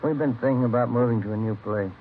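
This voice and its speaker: An actor playing an easygoing police detective with a plain, conversational manner in a vintage radio crime drama. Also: male voice, medium pitch, quick pace, lightly acted. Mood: casual. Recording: muffled, hissy old radio recording.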